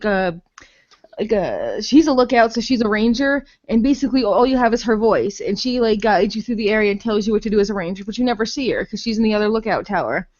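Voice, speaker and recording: A woman's voice: medium pitch, expressive, fast, darkish tone, muffled recording. A woman chatting casually and bluntly as a podcast reviewer, rambling, with plenty of "like" and some swearing. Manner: rambling, heavy use of "like"; blunt, profane. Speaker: podcast host reviewing games. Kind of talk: casual unscripted game review chat.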